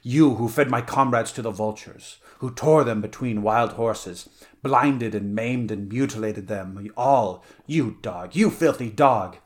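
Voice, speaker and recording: A man's voice: lowish voice, normal speed, lightly acted. A man voicing a powerful, vengeful barbarian swordsman in a dramatic story reading, in a ranting, cursing manner. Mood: furious, gloating. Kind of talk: dramatic audiobook character reading.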